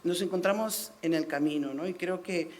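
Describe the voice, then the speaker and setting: A woman's voice: on the low side, normal speed, darkish tone. A middle-aged woman from Latin America speaking on a panel, telling personal stories with fillers and "¿no?" tag questions. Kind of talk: panel discussion.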